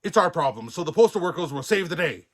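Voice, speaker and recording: A man's voice: fairly high pitch, lively intonation, fast talker, fairly bright tone, pitched above the performer's own voice. A man voicing a loyal, eager male penguin postal worker in a children's storybook, piping up earnestly. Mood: plucky.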